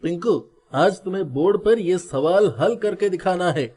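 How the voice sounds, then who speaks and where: A man voicing a commanding yet encouraging school maths teacher in an animated moral story, emphatic and declamatory. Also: high-pitched, moderate pace, voice pushed down.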